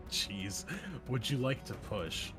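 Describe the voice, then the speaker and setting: A man's voice: on the low side, very slow, a bit bright, soft background music. A man acting as game master of a tabletop game, explaining the scene in an informal, conversational way. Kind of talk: casual tabletop game narration.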